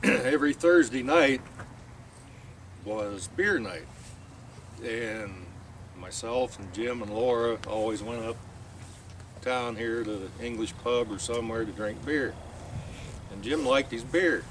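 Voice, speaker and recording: A man giving a short, halting speech outdoors about a late relative. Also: mid-range voice, far from the mic, a little hiss or hum. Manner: halting, with fillers. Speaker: family member remembering a friend. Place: outdoors at a radio club site.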